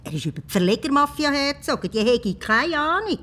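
An actress in a radio play playing a disapproving, matter-of-fact village official. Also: female voice, high-pitched, very animated, brisk, fairly bright tone. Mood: dry, mocking. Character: dry, disapproving female village council official.

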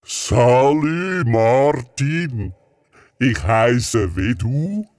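A friendly giant from a children's radio play. He speaks Swiss German extremely slowly, drawing out his words with shy amusement. The sound is dry and close. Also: medium pitch, lively intonation, a little mellow, dry recording. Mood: friendly, amused. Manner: slow, drawn-out, chuckling.

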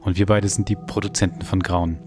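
A man hosting a podcast in a measured way. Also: deep voice, level tone, over music. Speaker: podcast producer and host. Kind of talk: podcast introduction and chat.

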